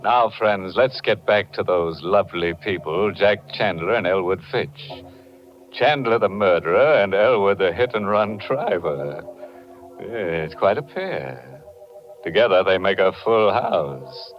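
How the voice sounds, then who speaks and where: American guy, low voice, sardonic theatrical mystery host, muffled 40s radio, bit of music. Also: expressive, unhurried. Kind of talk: scripted 1940s radio hosting. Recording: muffled 1940s radio recording.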